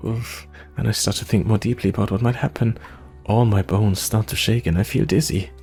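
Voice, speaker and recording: A man's voice: fairly low pitch, fairly even intonation, brisk, a little mellow, close to an everyday voice. A man voicing an anxious, self-doubting young adventurer from the forest, stammering and rambling in a visual novel. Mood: nervous, confiding. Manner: nervous, hesitant stammering and rambling. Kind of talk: visual novel character read-aloud. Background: music.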